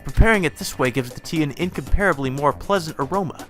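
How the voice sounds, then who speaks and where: Man playing imperious young gambler lady, visual novel, prim formal speech, spoiled, short-tempered, bright forceful tone. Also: a little high, fast, faint music behind, close to an everyday voice. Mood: haughty, lecturing. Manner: prim formal diction that snaps into foul-mouthed commands.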